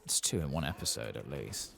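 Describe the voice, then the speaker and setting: A man giving soft-spoken ASMR commentary over a video game, murmuring and sometimes slipping toward a whisper. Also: rumbling low, expressive, brisk, faint music behind. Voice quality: soft, husky, gravelly. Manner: murmuring to himself, partly whispering.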